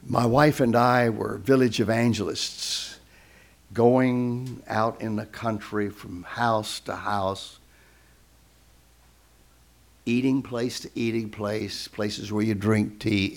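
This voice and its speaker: A man's voice: lowish voice, vocal fry, a little mellow. A man who is a guest speaker, telling a story in a sermon and acting out the voices of the people in it.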